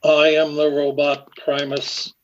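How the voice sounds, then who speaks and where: A male actor playing an earnest, protective robot in a radio drama, measured and formal. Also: a little high, monotone, lo-fi sound, a little hiss or hum. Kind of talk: old-time radio drama acting.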